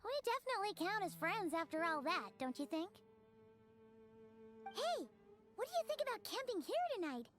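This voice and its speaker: A woman's voice: very high-pitched, sing-song, normal speed, pauses often, a bit bright, soft background music, heavily acted. A woman voicing a tiny, childlike fairy companion in a video game, cheerful, devoted and chatty, with a bubbly, earnest manner. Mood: bubbly, friendly. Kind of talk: video game dialogue, speaking of self in third person.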